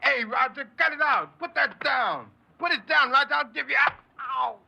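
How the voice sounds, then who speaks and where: High, gravelly young American pin boy. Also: animated, moderate pace, pushed and bright, heavily acted. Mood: alarmed. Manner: slangy, brusque. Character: wary, tight-fisted young bowling-alley pin boy. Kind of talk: 1950s American radio drama acting. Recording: dull, faintly noisy old radio recording.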